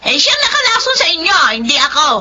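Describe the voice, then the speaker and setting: An actress playing a defensive, shifty eatery owner in a theatrical, exclamatory Philippine radio drama style. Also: female voice, extremely high pitch, very animated, fast. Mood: defensive, indignant. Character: defensive, shifty eatery owner woman, brazen then defeated. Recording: dull lo-fi radio sound, clear noise.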